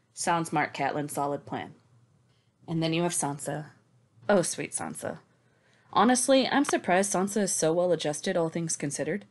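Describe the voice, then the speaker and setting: A woman co-hosting a book discussion, casual and sweary, with a sarcastic, emphatic delivery. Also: on the low side. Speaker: podcast co-host giving recaps. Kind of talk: sarcastic, scripted-sounding book recap and discussion.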